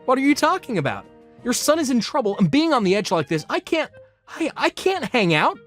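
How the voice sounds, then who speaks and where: Woman acting a whiny selfish grown son, swinging pitch. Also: very animated, a little fast. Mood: indignant, desperate. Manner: whiny, pleading, melodramatic. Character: selfish whiny adult son begging his mother for money. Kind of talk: manga dub voice acting. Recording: music behind the voice.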